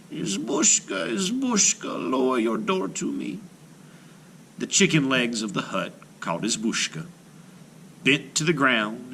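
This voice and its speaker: A man's voice: deep voice, pushed and bright, slight background noise, rough recording. A man reading a folktale aloud to children in a theatrical, emphatic storytelling style. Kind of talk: dramatic children's read-aloud narration.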